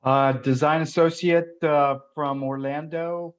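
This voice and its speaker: A man introducing himself as a design associate on a video call, halting and full of filler sounds. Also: fairly high pitch, fairly even intonation. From born in France, raised in an army family. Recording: dull lo-fi audio.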